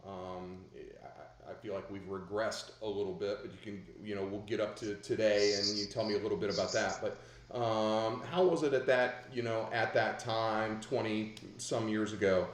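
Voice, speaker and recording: Host type: man, lower voice, rambling questions, roomy echo. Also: fairly even intonation, normal speed. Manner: rambling, restarts questions often. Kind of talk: video call interview.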